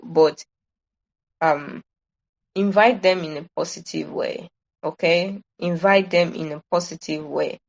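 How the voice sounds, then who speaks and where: A woman's voice: on the low side, rough recording. A woman giving an informal online presentation, advising in an earnest, emphatic way and repeating her points. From Nigeria.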